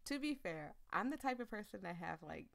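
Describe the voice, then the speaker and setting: A woman doing a casual let's-play, reading a visual novel aloud in a chatty, expressive way and breaking off into asides. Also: mid-range voice, fairly quick, vocal fry. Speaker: video game streamer.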